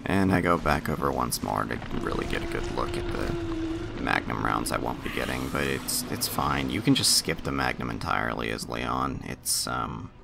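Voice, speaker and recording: A man's voice: very deep voice, wildly swooping pitch, moderate pace, vocal fry, heavy background noise. A man giving casual, offhand commentary over his own video game playthrough.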